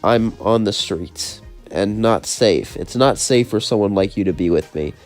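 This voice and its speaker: A man voicing a hurt, fiery young male demon in an amateur audio story, speaking loud and raised in pitch. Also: a little slow, faint music behind, lightly acted. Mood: pleading.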